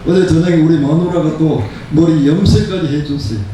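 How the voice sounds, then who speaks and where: Man in his seventies, pastor, emphatic sermon delivery, repeated phrases, mellow tone, heavily reverberant room. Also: on the high side, a little fast. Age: 70s. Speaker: pastor preaching. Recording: very echoey room, distant mic, faint noise.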